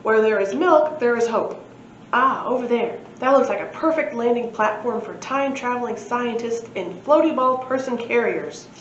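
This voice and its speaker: A woman's voice: fairly high pitch, moderate pace, close to an everyday voice. A woman reading a storybook, voicing a pompous, earnest male dinosaur professor with a formal, wordy, matter-of-fact manner. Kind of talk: storybook read-aloud. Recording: echoey room, muffled, faint noise.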